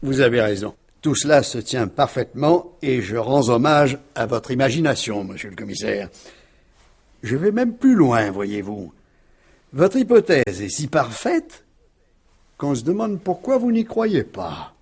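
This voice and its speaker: A middle-aged gentleman suspected of murder in an old French radio drama. He is lively and slightly husky, moving between polite irony and gruff exasperation. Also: medium pitch, measured pace, full character voice. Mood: coolly defiant. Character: urbane, defiant husband suspected of murder, gruffly avuncular. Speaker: actor in a radio mystery play.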